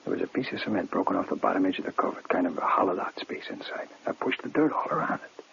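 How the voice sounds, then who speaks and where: A man's voice: sits low, animated, quick pace, creaky, fairly bright tone, exaggerated voice. A man acting an anxious, guilty prisoner in a 1950s radio drama, urgently pleading and pressing with questions. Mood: desperate, pleading. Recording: muffled noisy old radio recording.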